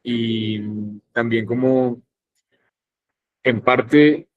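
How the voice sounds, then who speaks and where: A young man from northern Colombia with a Colombian accent, speaking reflectively and haltingly on a discussion panel, with many pauses and restarts. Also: medium pitch, steady tone, phone-quality sound. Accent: Colombian Spanish.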